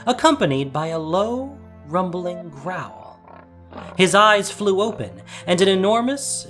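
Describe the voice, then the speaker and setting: A man reading a scripted fantasy story as narrator, with measured, deliberate, suspenseful phrasing. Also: a little high. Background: soft music.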